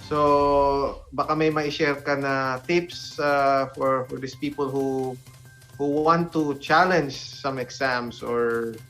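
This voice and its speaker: A Filipino man of medium pitch hosts a casual talk show. He feels his way through sentences with many pauses and repetitions, over faint music. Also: steady tone, fairly bright tone, low-quality recording. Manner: halting, hesitant, with many repeated words. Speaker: host.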